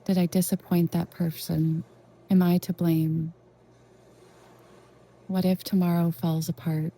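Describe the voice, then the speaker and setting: A woman leading a guided meditation in a gentle, reassuring, unhurried way with long pauses. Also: lowish voice, little pitch movement. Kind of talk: soothing guided meditation narration.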